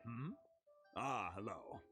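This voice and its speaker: Game role: flustered, good-natured smith, slow and hesitant, swinging intonation, prominent music behind. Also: male voice, fairly low pitch, lively intonation, sharp tone, full character voice. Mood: befuddled, friendly. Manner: hesitant, bumbling, throat-clearing. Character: absent-minded, good-natured working blacksmith man, slow-witted, flustered. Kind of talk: video game character acting.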